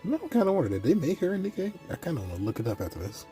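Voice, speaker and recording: A man's voice: fairly high pitch, sing-song, racing, dark tone, faint music behind. A man giving casual, off-the-cuff reaction commentary as he follows a video game story.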